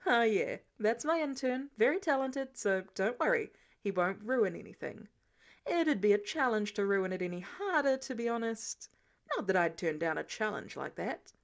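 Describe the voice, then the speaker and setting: A woman's voice: medium pitch, big pitch swings, normal speed. A woman voicing a casual, wry male boss and inventor in a story reading. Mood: breezy, boastful. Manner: casual, teasing, glib.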